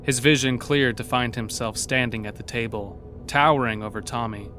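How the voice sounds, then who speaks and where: A man's voice: mid-range voice, fairly even intonation, normal speed, bright, forceful tone, slight background noise. A man narrating a scripted horror story as the narrator of an audio drama.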